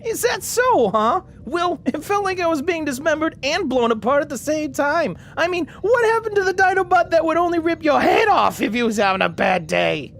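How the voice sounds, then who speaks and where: A cocky, cranky robot rat played by a woman in a radio play, pitched very high and jumping around in tone. The delivery is streetwise and sarcastic, full of grumbling, with faint noise on the recording. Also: sing-song, moderate pace, hardly any pauses. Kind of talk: audio drama character acting.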